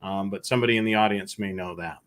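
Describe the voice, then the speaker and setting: Male live show host, bright crisp-edged delivery, conversational and slightly halting. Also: low voice, steady tone. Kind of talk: casual live stream talk, answering viewer questions.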